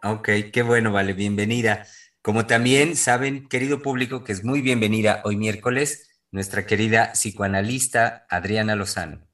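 A man's voice: on the low side, normal speed, a bit bright. A man hosting a panel conversation in a conversational way. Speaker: host. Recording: voice distant from the microphone.

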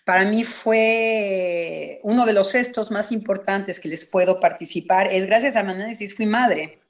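A woman psychoanalyst speaking reflectively and personally on a panel, halting and repeating phrases for emphasis. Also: mid-range voice.

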